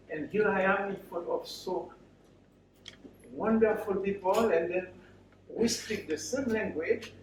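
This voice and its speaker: A middle-aged or older man with a Haitian accent, speaking to an audience in halting, short phrases as a guest speaker. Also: high-pitched. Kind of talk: personal story told to an audience. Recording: very echoey, distant microphone, faint noise.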